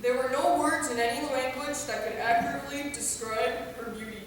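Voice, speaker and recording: A woman acting cheeky Quinn, high and echoey. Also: fairly even intonation, a little fast, close to an everyday voice. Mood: mock romantic. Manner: theatrical, teasing. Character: Quinn, cheeky mocking sidekick who needles her friends. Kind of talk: staged play reading. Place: theater hall, mic at a distance. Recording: hall echo, distant, faint noise.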